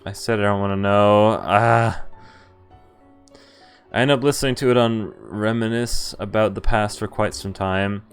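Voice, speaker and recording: A man's voice: on the low side, steady tone, measured pace. A man on a live game stream, reading visual novel text aloud and reacting casually in an understated way. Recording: game music playing behind. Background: video game music.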